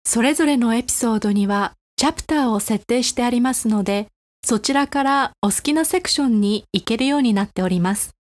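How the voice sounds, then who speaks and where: A woman presents a podcast for language learners from a prepared script. Her voice sits fairly high and she moves along at a fast pace.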